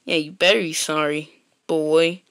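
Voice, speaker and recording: A man's voice: a little high, flat delivery, unhurried, fairly bright tone, clear, resonant tone, no room sound, studio quality, voice pushed down. A man voicing a stern, short-tempered adoptive mother in a game roleplay, blunt and threatening. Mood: gruff.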